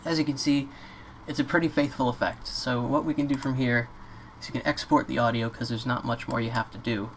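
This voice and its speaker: A man giving a casual, off-the-cuff software tutorial in an informal, slightly hesitant way. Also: mid-range voice, level tone, fairly quick, few pauses. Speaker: tutorial presenter. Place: indoors at a computer. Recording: clear background noise, keyboard clicks.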